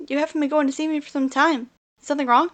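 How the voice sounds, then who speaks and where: A woman's voice: high-pitched, fairly even intonation, very fast, fairly bright tone. A woman voicing a caring, level-headed young animal adventurer in an audio drama. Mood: concerned.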